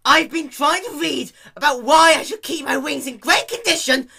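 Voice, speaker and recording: A man voicing an exasperated, hot-tempered young female cartoon pegasus, whiny and dramatic, with shouting. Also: extremely high pitch, moderate pace, creaky, pitched above the performer's own voice, full character voice.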